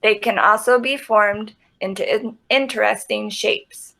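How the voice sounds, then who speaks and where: A woman's voice: mid-range voice, steady tone, a little slow, creaky, sharp tone, rough recording. A woman reading a children's nonfiction book aloud.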